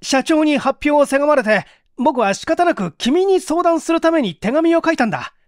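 A man's voice: extremely high pitch, animated, moderate pace, no room sound, clean studio sound, voice pushed up. A man voicing an anxious male colleague who talks in urgent agitation, in an audiobook mystery. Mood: urgent alarm. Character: frantic, anxious male colleague shouting over the telephone.

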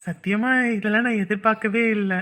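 A woman playing a humble, sincere young man in love in a romantic drama, earnest and halting. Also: medium pitch, steady tone, fairly bright tone, lightly acted. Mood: tender, curious. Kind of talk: romantic story drama acting.

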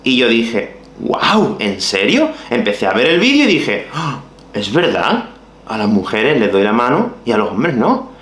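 Man, 30s, softened Andalusian accent, language teacher, lively pitch, talks to viewers, echoey small room. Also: a little slow. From Málaga, Spain. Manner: animated, gestures with his hands, addresses viewers directly. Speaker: Spanish teacher. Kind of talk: explaining to the camera for language learners.

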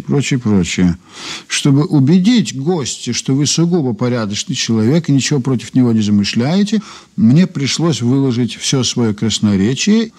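An actor playing a brisk, wryly intrigued male investigator in a Soviet radio drama, reading deliberately and expressively. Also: medium pitch, darkish tone, natural voice.

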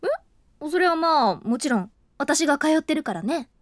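A flustered, chatty office worker. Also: female voice, sits high, big pitch swings, moderate pace, no echo, clean studio sound. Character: overworked office woman, frank and self-deprecating. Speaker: voice actress and narrator of the story. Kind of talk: voice drama acting with inner narration. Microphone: close studio mic.

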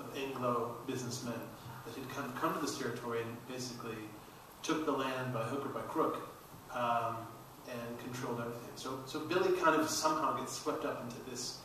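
Middle aged guy explaining stuff on a panel, sharp bright voice, recorded far off in a boomy hall. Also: fairly even intonation, moderate pace, slight background noise. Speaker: documentary filmmaker on a panel. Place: large auditorium stage.

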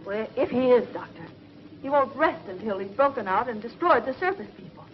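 A woman's voice: a little high, animated, a little fast, lightly acted. An actress playing an earnest young female scientific assistant in a 1950s television serial. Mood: alarmed, earnest. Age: young adult. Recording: muffled 1950s TV soundtrack, faint noise.

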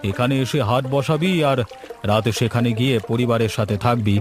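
A man voicing a stern older male village headman in a cartoon, commanding and unhurried. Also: medium pitch, faint music behind, pitched below the performer's own voice, close to an everyday voice. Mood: generous. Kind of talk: cartoon voice acting.

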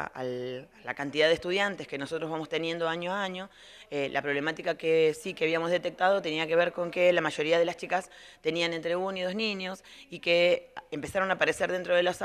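A middle-aged Argentine woman speaks as a school director in a spontaneous TV interview. She explains step by step, often halting to rephrase. Her voice is picked up on a handheld reporter's microphone in a large indoor room. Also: low voice, a bit bright.

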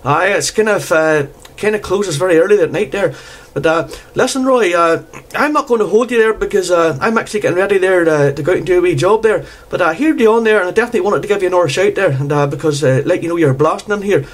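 A high-pitched man chats casually over amateur radio. He talks like a hobby operator and keeps dropping "there" at the ends of his phrases. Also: fast, few pauses. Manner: repeatedly tags phrases with "there". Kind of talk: casual radio contact chat.

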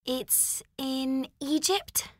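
A woman voicing a student who answers questions slowly and hesitantly. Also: high-pitched, no room sound, clean studio sound, pitched above the performer's own voice, exaggerated voice. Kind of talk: coursebook dialogue reading.

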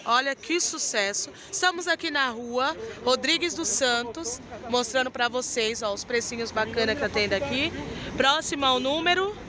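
A woman's voice: high-pitched, normal speed, a crowd in the background. A woman with a Brazilian Portuguese accent hosting a shopping vlog, praising clothes in an enthusiastic sales patter. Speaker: vlog host showing market clothes. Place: busy night street market outdoors. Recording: noisy street with traffic. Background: street and traffic noise.

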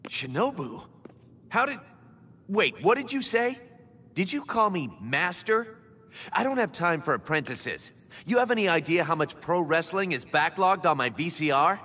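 Brash high-pitched young American assassin. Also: male voice, very animated, normal speed, sharp tone, husky, exaggerated voice. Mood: annoyed. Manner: brash, slangy, exasperated. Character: cocky, foul-mouthed, irritable young male assassin. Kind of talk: video game cutscene acting. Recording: game audio with hall reverb, rumbly noise.

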